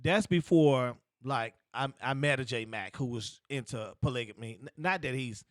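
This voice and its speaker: Middle-aged male co-host, rambling and halting, dry close sound. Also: mid-range voice, no room sound. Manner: halting, rambling storyteller with many restarts. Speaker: podcast co-host. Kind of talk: casual crude group chat.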